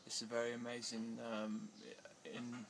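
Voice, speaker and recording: A man of middle pitch speaks haltingly, full of fillers and false starts. His voice sometimes rises and grows louder as he gets worked up. Clear background noise sits under the recording. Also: monotone. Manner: hesitant, many fillers and restarts, growing agitated. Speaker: interviewee. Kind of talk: casual interview answers. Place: outdoors in India.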